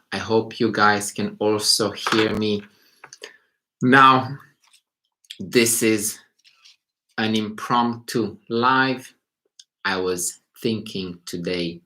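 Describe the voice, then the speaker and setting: A man's voice: lowish voice, pushed and bright. A man from Romania, hosting a casual live stream as a psychology teacher and thinking aloud as he talks. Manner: unhurried, thinking aloud, rephrasing as he goes. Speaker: psychology teacher and channel host. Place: indoors in Beijing at night.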